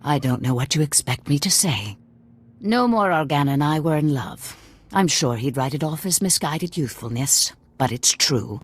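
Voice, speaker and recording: An actress playing a proud middle-aged noblewoman assassin in a sci-fi video game, husky, sardonic and world-weary. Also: low voice, lively intonation, moderate pace. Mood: wistful, defensive.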